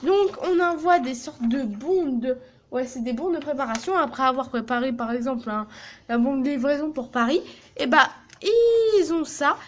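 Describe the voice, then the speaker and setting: A woman's voice: sits high, animated, normal speed, a little hiss or hum. A teenage girl vlogging casually from a small office, joking and swearing as she walks through her work placement. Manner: crude, joking, swears a lot. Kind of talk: casual vlog.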